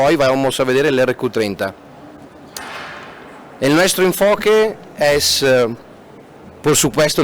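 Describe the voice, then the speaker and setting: A male engineer giving a product presentation in a webinar, hesitant with many fillers and restarts, speaking a language that is not his first. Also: fairly high pitch. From Austria. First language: not Spanish.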